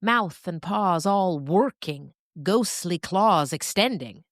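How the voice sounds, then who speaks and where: A woman narrating a scripted story expressively, with dramatic pauses. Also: lowish voice, animated, no room sound, clean studio sound.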